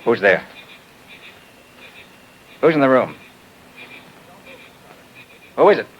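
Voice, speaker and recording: An actor playing a shrewd, self-possessed private detective in a 1940s radio drama. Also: male voice, mid-range voice, very animated, extremely slow, breathy edge, exaggerated voice. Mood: tense, probing. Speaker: detective hero. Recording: dull old radio recording.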